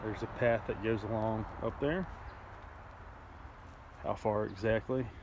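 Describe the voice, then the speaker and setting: A man's voice: lowish voice, unhurried. A man hosting a fishing vlog, rambling casually with plenty of fillers as he walks a creekside access point outdoors. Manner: rambling, chatty, with frequent fillers. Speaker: fishing channel host. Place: outdoors by a creek near a road bridge. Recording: outdoor camera audio with loud road noise. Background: loud outdoor ambience and passing traffic.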